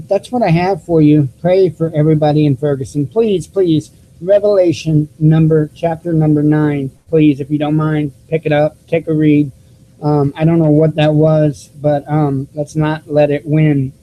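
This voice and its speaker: A man with a squeaky voice hosting his own YouTube news channel, talking casually to viewers through a cheap desktop microphone with many restarts and fillers. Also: flat delivery, moderate pace, muffled recording, slight background noise. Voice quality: squeaky voice, self-described. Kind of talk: casual vlog talk to viewers.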